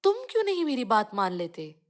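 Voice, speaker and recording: A woman voicing a self-absorbed city aunt in a children's cartoon story, brisk and argumentative. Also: medium pitch, sing-song, lightly acted. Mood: irritated.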